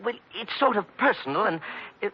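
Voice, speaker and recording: A man's voice: high-pitched, lively intonation, brisk. A man playing a meek, timid little fellow, hesitant and apologetic, in 1940s radio comedy style. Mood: timid, flustered. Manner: hesitant, apologetic, mumbly deference. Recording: muffled old radio recording, faint noise.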